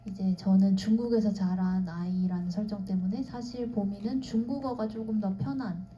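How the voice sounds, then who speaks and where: Woman with a dark, mellow voice. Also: medium pitch, fairly even intonation, normal speed. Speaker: actress at a screening Q&A. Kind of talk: panel talk. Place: cinema auditorium stage. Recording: muffled hall recording.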